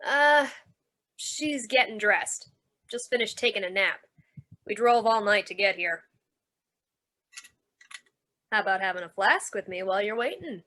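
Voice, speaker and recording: A woman's voice: medium pitch, animated, unhurried, pauses often, bright, forceful tone. A woman playing a tough, suspicious carnival manager, brusque and slangy, in old-time radio noir style. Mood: guarded, businesslike.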